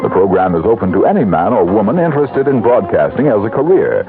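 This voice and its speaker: A man's voice: lowish voice, sing-song, fast. An American man reading a scripted public service announcement as a radio announcer. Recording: muffled old radio broadcast. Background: music.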